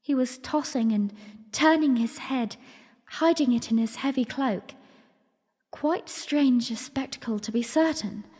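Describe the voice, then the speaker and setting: A woman playing a young, refined princess, narrating her memories earnestly and formally in a breathy voice for an audiodrama. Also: high voice, a little slow, a little mellow. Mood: curious, narrating. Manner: storytelling, formal. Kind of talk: first-person dramatic narration. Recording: long reverberant hall echo.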